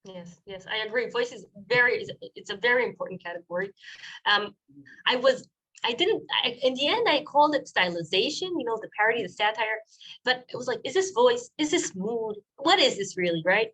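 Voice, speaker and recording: A woman's voice: fairly high pitch, animated, brisk, fairly bright tone, husky, poor audio. A woman lecturer answers audience questions over a video call, thinking aloud with many restarts. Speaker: guest lecturer, doctoral student.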